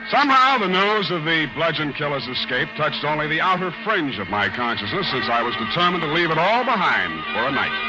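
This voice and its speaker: A world-weary, wisecracking male private eye in a vintage radio drama. His pitch moves freely as he delivers wry, clipped banter and narration. Music plays in the old broadcast. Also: poor audio. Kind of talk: 1940s radio drama acting, first-person narration.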